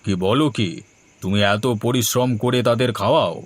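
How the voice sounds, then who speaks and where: A man voicing a gentle, wise old holy hermit in a cartoon folktale. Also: mid-range voice, moderate pace, dry recording, natural voice. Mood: kindly, curious.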